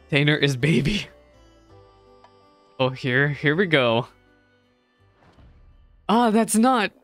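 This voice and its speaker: A man's voice: on the high side, animated, very slow, long pauses, a bit bright, no echo, soft background music. A man giving casual, informal and reactive commentary as he streams a game. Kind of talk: casual gameplay commentary, reading dialogue aloud.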